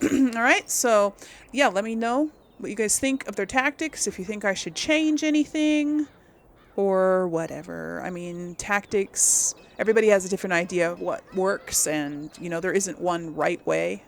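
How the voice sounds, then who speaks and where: A woman's voice: mid-range voice, moderate pace, gravelly. A woman giving casual playthrough commentary as host of a gaming video, chatty and thinking aloud.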